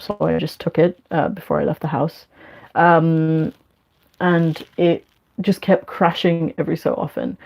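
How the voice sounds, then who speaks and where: Rambling young woman with dull lo-fi audio. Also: fairly low pitch. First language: Bangla. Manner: rambling, many ums and likes. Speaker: YouTuber answering live chat. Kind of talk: casual livestream chat.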